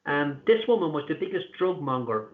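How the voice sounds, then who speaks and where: Irish guy, earnest and deliberate, lots of ums, tinny phone sound, echoey room. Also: fairly high pitch, big pitch swings. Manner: earnest, deliberate, pausing with fillers. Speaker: interview guest, activist. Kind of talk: conversational interview answers. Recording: tinny echoey call line.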